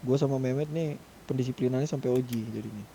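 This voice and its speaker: A man's voice: mid-range voice, fast, vocal fry, dark tone, slight background noise, low-quality recording. A man casually leading a discussion among gamers in an online roleplay meeting. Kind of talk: casual in-game meeting talk.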